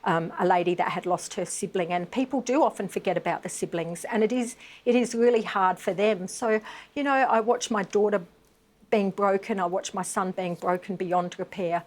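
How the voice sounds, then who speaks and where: A woman's voice: medium pitch, moderate pace. A middle-aged woman, an advocate giving a live TV interview, emphatic and impassioned with many fillers. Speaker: bereaved mother and veterans' advocate.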